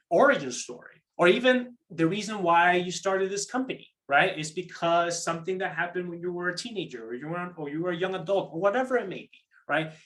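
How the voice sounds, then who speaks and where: Male workshop presenter, somewhat high-pitched, conversational and explanatory, with repeated words, restarts and frequent check-in tags. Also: pushed and bright. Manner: conversational, seeks agreement with tag questions. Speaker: program manager presenting a workshop. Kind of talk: interactive online workshop talk on pitching.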